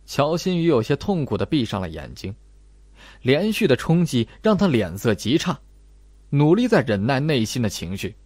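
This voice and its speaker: A man narrating a novel in an expressive, dramatic scripted style. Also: medium pitch, normal speed, breathy edge, dry recording. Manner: expressive, acts out dialogue. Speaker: audiobook narrator.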